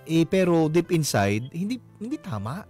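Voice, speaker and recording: Lively Filipino male radio host, higher-pitched, teasing and readily amused while giving casual advice over soft music. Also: normal speed, slightly rough. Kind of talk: casual chat, advising a caller. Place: radio studio.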